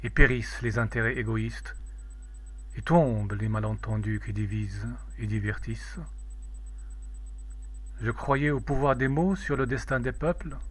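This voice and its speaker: A man reciting a poem in a measured, declamatory, solemn way. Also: medium pitch. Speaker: poetry reader.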